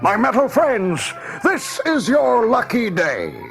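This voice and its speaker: A man voicing an excitable, scruffy scavenger trader with a gushing, singsong sales patter in a children's read-along story. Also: very high-pitched, slow, vocal fry, faint music behind, heavily acted. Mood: excited, wheedling.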